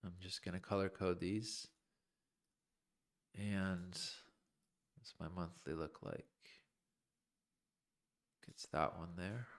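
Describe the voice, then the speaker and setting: A man's voice: low voice, steady tone, slow pace, pauses often. A man streaming live chart analysis, thinking aloud informally in fragments, with a voice that is starting to give out. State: losing his voice, clearing his throat. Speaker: crypto trading streamer.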